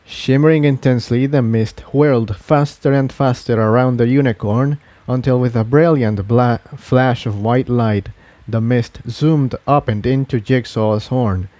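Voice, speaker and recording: A man narrates an adventure story in a mellow, relaxed voice of middling pitch. The delivery is scripted narration, and the sound is close and dry. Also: unhurried, no room sound.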